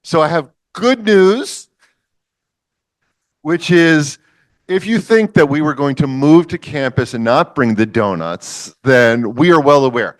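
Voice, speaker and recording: A man's voice: fairly high pitch, animated, a little slow. A man hosting a public science lecture, chatting casually with the audience and introducing the speaker. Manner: chatty, with fillers, jokes with the audience. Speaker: event host introducing a lecturer.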